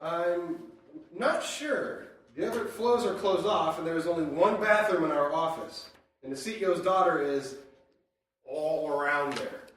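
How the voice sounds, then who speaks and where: A man's voice: fairly high pitch, a little slow, a bit bright, recorded from a distance. A man playing an anxious, uncomfortable office employee in a comic radio-style sketch. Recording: very echoey, noisy room, off mic.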